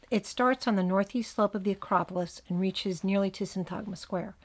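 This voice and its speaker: A woman with a New Jersey accent hosts a podcast at a close microphone. She reads a script in a voice of middle pitch and breaks it with wry, dry asides. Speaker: podcast host.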